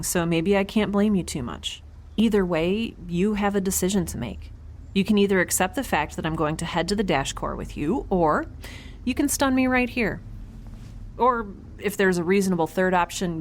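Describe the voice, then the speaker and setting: An actress playing a defiant, wry young woman aboard a spaceship in an audio drama, with a sarcastic, halting, conversational manner. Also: fairly low pitch, a little hiss or hum, natural voice.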